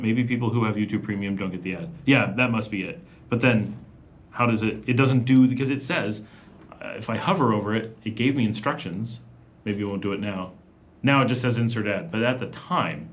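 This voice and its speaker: A man hosting a casual evening livestream from home, chatting loosely and reading viewers' comments aloud. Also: medium pitch. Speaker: livestream host. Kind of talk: casual live Q&A chat.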